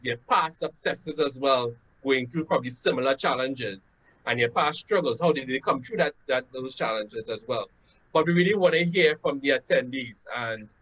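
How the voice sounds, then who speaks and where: A man's voice: mid-range voice, fairly bright tone. Trinidadian man hosting over a muffled video call. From Trinidad and Tobago. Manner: conversational, frequent "right?" tags and "um" fillers. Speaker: webinar host. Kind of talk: casual webinar introduction. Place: home room, indoors. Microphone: laptop webcam on a video call. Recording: dull lo-fi video call audio.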